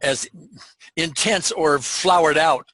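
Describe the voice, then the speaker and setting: An older man hosting a therapy session, asking measured, gently probing questions. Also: on the high side, rough recording. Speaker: EFT practitioner hosting a session. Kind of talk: calm therapeutic interview.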